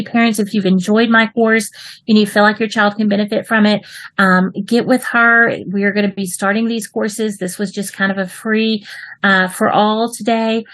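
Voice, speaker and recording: A woman's voice: on the high side, no echo, poor audio. A woman with a Texas accent teaching an online writing class to children. She is upbeat and encouraging and ends with folksy rhyming goodbyes. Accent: Texan. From Texas, United States. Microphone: video call.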